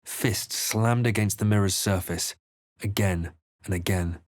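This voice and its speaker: A man playing a grave, watchful fantasy adventurer in a video game, narrating in the first person with measured, dramatic delivery. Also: on the low side, dry recording, studio quality, natural voice. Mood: grave narration.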